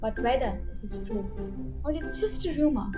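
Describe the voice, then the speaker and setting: A woman's voice: fairly high pitch, expressive, moderate pace, over loud music. A woman narrating a scripted mystery story for an amateur radio play.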